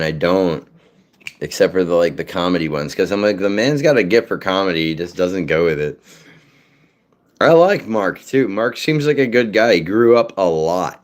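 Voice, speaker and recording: A man from Massachusetts, a voice actor, chatting casually and rambling with lots of fillers and swearing. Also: sits low. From Massachusetts, North Shore. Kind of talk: casual livestream chat.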